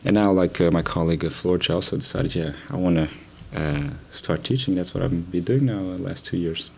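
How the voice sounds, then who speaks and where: A man from Portugal, raised in the Netherlands, a lecturer speaking in a relaxed way with many fillers. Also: low voice, a little fast, gravelly, slight background noise.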